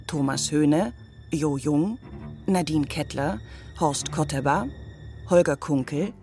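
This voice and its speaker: A woman announces scripted credits. She speaks slowly in a fairly low voice. Bowed string music plays clearly behind her. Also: darkish tone. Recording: music under the voice.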